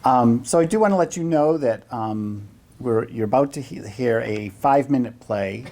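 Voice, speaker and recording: An event host introducing and narrating a play reading, with halting, deliberate phrasing. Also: mid-range voice, lively intonation, warm tone. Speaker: event host and stage-direction reader.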